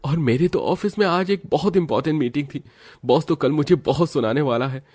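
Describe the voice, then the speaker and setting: A man's voice: fairly high pitch, a little fast, darkish tone, pitched above the performer's own voice, close to an everyday voice. A man voicing a complaining young man of the household in a cartoon family drama. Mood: frustrated. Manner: plaintive, complaining.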